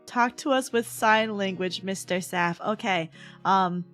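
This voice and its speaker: A woman livestreamer with a hoarse, cracking voice, chatting casually with her viewers in a playful, giggly manner. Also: mid-range voice, measured pace, a bit bright. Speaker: livestream host, VTuber. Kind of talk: casual livestream chat, reading and answering chat messages. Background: background music.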